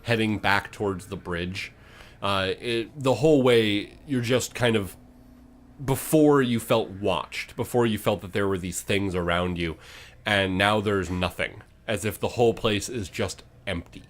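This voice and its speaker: A man improvising tabletop game narration as game master, building scenes in halting fragments. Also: fairly low pitch.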